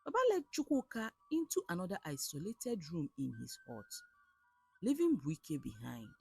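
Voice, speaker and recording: A woman with a Nigerian accent narrating a folktale as a storyteller, in an expressive, theatrical way. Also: lowish voice, normal speed, vocal fry, husky, soft background music. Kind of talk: dramatic scripted folktale narration.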